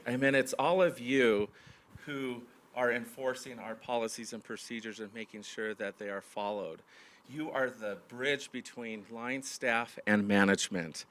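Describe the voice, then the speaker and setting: A man addressing a big audience in a meeting room, his voice worn and going in and out. He talks in a halting way, full of fillers and restarts. His pitch sits in the middle range for a man. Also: a bit bright. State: losing his voice. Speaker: county manager hosting a staff meeting. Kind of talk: opening remarks to a large audience.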